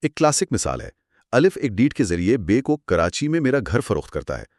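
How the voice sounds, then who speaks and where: A man's voice: fairly low pitch, big pitch swings, fairly quick, a little mellow, dry recording, clean studio sound. A man co-presenting a lecture as a scripted two-person dialogue, explaining in a didactic way.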